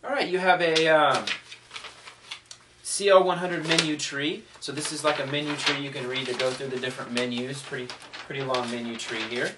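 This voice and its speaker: A man's voice: mid-range voice, level tone, moderate pace. A man giving a casual unboxing review, thinking aloud in a conversational way. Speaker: product reviewer. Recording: distant mic, faint noise, clicks.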